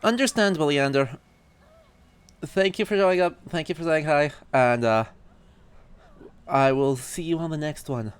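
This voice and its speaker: A man's voice: fairly high pitch, unhurried, long pauses, smooth. A man giving casual live game commentary as a streamer, chatty and wry with scholarly asides. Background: faint game music.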